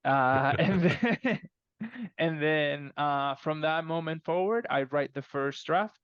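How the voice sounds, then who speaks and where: A man speaking as a guest author in a casual podcast interview, telling stories in an animated way and acting out exchanges. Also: on the high side, slow, smooth, dry recording, lo-fi sound.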